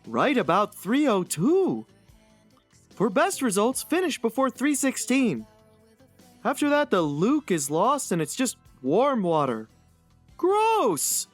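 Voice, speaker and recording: A woman's voice: medium pitch, no room sound. A woman voicing a gloomy, pedantic oddball blogger in an earnest, fussy, theatrical dramatic reading.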